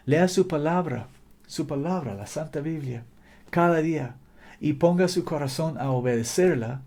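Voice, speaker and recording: A man's voice: medium pitch, mellow. A man preaching a fervent sermon in a language that is not his native one, exhorting listeners with repetition and occasional slips. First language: not Spanish.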